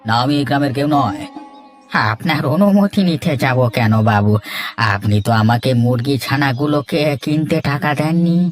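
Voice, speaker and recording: Cartoon village farmer, male actor: mid-pitched, defiant, grumbling and argumentative. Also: expressive, moderate pace, natural voice. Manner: indignant, argumentative. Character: stubborn, defiant village poultry keeper, grumbling husband. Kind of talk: cartoon dialogue acting. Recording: faint music behind.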